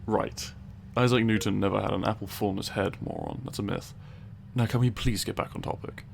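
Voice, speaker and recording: A man's voice: fairly low pitch, steady tone, brisk, gravelly, slight background noise. A man playing a stern, sarcastic tiny scientist in a comedic audio roleplay, speaking in a dry, precise, condescending way. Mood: exasperated, sarcastic. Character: tiny male scientist Frederick, stern, exasperated, sarcastic, pedantic.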